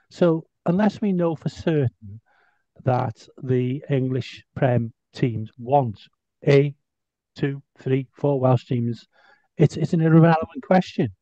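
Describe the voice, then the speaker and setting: Mellow, wry man in a dry recording. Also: on the high side, no room sound, dull audio. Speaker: podcast panellist. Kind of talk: casual anecdotal discussion.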